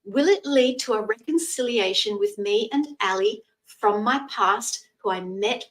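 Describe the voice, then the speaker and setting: A Scottish woman in her sixties giving a live tarot reading, chatting in a gentle, reassuring way and using terms of endearment. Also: mid-range voice, a little slow, far from the mic, low-quality recording. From Scotland. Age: 60s. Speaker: psychic tarot reader.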